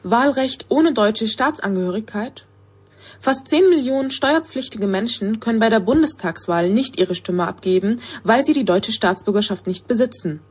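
A woman reading a scripted explainer in a measured, informative tone. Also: a little high, expressive, husky, dry recording.

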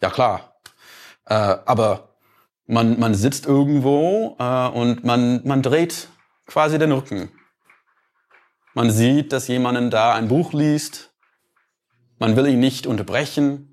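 A man with an American accent gives a thoughtful talk in a mid-range voice, neither high nor low. He takes his time and explains his ideas through small everyday anecdotes. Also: a little mellow. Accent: American accent in German. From United States. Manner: reflective, unhurried, illustrates points with everyday stories. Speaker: speaker giving a talk. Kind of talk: lecture-style talk with personal anecdotes.

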